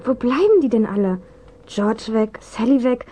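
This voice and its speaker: Cautious girl, high voice, mellow tone, questioning, children's radio drama. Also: animated, moderate pace, breathy edge. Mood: uneasy. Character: Jessie, cautious, home-loving girl, easily uneasy. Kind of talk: German children's radio play acting, 1970s.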